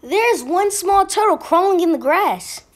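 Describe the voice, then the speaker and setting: A voice actor playing an excited, eager little boy in a children's storybook read-aloud. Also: extremely high pitch, unhurried. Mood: eager wonder. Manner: eager, exclamatory. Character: excited little boy on a trip to see grandpa.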